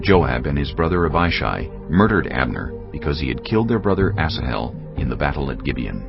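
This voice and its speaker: Very deep, gravelly male scripture narrator. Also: big pitch swings. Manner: measured, solemn storytelling. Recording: slightly dull sound, music underneath. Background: background music.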